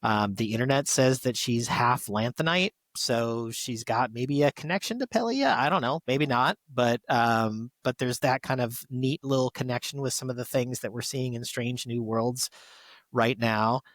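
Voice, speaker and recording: A man's voice: mid-range voice, moderate pace, fairly bright tone, no echo. A man co-hosting a podcast, giving enthusiastic, conversational review commentary. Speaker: podcast co-host.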